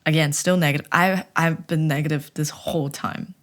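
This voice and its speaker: Young woman w a low deep voice rambling through a story, laughing at herself. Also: normal speed. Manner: chatty, animated, self-mocking; rambling, with many restarts. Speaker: vlogger. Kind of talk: casual storytelling chat.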